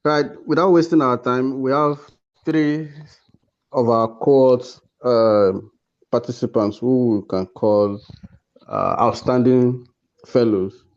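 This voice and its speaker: A man's voice: mid-range voice, fairly even intonation, slow, a little mellow. A man formally presenting an online ceremony over a video call. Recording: dull lo-fi call audio.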